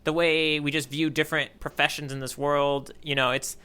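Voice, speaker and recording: An American man co-hosting a podcast in casual chat, reflective and halting as he circles back to rephrase his thoughts. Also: on the high side, level tone, bright, forceful tone, clean tone. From United States. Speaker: podcast co-host.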